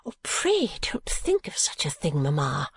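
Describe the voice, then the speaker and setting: A woman voicing a gentle, modest young daughter in an audiobook, earnestly protesting. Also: medium pitch, very animated, a little slow, slightly rough, dry recording, pitched above the performer's own voice, heavily acted. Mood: anxious. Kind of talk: audiobook character acting.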